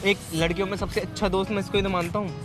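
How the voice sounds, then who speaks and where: A man's voice: high-pitched, flat delivery, racing, over music. A man of twenty chatting in a casual, teasing way, repeating himself as he explains. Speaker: prank video host. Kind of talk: casual chat, teasing banter.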